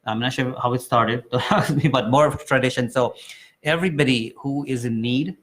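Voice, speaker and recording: Male host from Guyana, mid-pitched, loose and rambling delivery on a live talk show. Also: normal speed, fairly bright tone. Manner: rambling, with many um fillers and restarts. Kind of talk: casual live chat show.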